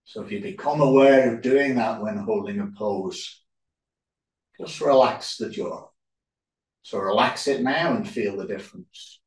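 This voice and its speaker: Male yoga instructor, calm guided relaxation, very slow delivery with pauses, distant and reverberant room sound. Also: mid-range voice. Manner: calm, unhurried, short phrases with pauses. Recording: roomy echo, distant microphone.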